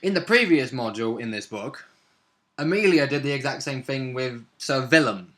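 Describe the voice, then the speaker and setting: A man's voice: medium pitch, lively intonation, brisk, a bit bright. A man chatting casually as a player looking back on a tabletop role-playing session, easygoing and chipping in with short remarks. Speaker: player and co-presenter.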